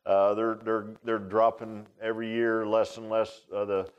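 An American man in his sixties preaching an informal sermon in a halting, folksy, conversational way, often repeating words. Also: lowish voice, little pitch movement, clean tone, muffled recording. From United States. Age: 60s. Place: speaking in a church.

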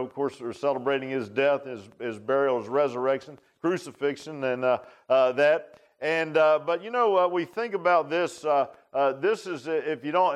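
Clear-voiced older American preacher, halting delivery. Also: male voice, mid-range voice. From United States. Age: 60s. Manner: halting, folksy, conversational delivery with repeated words. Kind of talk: informal sermon. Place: speaking in a church.

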